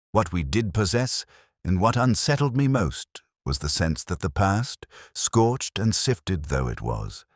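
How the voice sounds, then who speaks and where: A man narrating a first-person detective story in a measured, brooding, literary manner. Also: sits low, no echo, studio quality.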